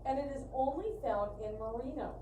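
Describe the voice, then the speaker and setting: A woman's voice: high voice, fairly quick, mellow. A woman chatting casually and informally as she explains things to livestream viewers. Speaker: co-host of a fiber arts livestream. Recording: roomy echo, distant mic, faint noise.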